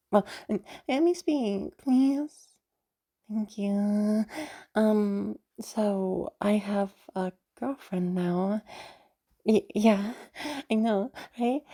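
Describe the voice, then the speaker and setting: A woman's voice: medium pitch, warm tone. A woman voicing a sweet, bubbly, nervous young woman chatting in a roleplay audio drama, hesitant, giggly and stammering. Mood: giddy, nervous. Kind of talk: roleplay audio drama, one side of a phone chat.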